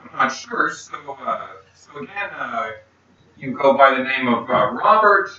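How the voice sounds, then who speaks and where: A man's voice: mid-range voice, sing-song, unhurried, pushed and bright. A young man interviewing his grandfather at home, asking short prompting questions. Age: young adult. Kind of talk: casual family interview. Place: small room at home. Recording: echoey room, distant mic, dull sound, faint hiss.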